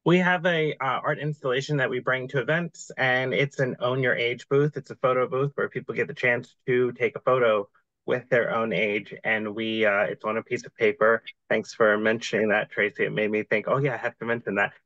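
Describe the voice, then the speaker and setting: A man's voice: mid-range voice, steady tone, normal speed, fairly bright tone, no room sound, lo-fi sound. A young man presenting on a video call, describing things in a casual, informative way. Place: home room, indoors.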